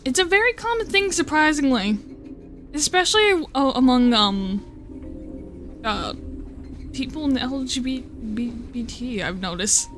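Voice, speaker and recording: A woman's voice: high voice, lively intonation, measured pace, long pauses, a bit bright, faint music behind. Rambling, swearing young woman streamer. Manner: rambling, swearing, with self-interruptions and laughs. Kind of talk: casual live-stream chat.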